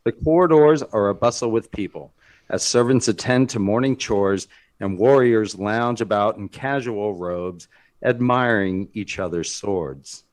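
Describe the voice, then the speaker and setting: A man with a low voice narrates a screenplay. His reading is slow, measured and deliberate, setting out stage directions. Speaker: narrator of a script read.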